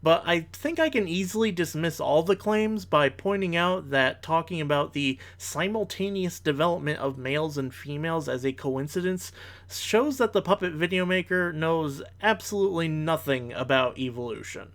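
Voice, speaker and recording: A man's voice: on the high side, animated, moderate pace, fairly bright tone. A man delivering scripted rebuttal commentary in formal wording, with an analytical and bluntly critical manner. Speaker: commentator, video host.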